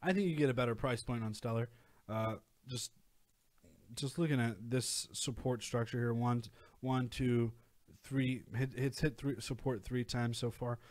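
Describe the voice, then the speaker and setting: A man's voice: mid-range voice, steady tone, measured pace, a little mellow. A young man hosting a casual video, talking through price charts with lots of fillers and restarts. He is losing his voice. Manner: rambling, many fillers and restarts. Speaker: crypto channel host. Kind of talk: casual screen-share chart commentary.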